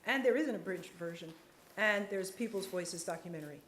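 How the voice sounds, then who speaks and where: A woman's voice: fairly low pitch, fairly bright tone, slight background noise. Earnest woman lecturing in echoey hall. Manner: earnest, measured, slows for emphasis. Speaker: psychiatrist speaker. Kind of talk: lecture and audience Q&A.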